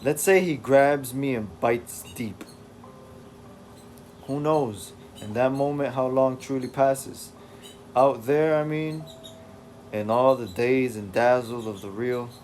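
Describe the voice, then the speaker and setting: Man reading as a sardonic young male psychic with a head full of trouble, slow and wry. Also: medium pitch, steady tone, a little mellow, faint music behind, close to an everyday voice. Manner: wry and sardonic, sprinkled with British slang.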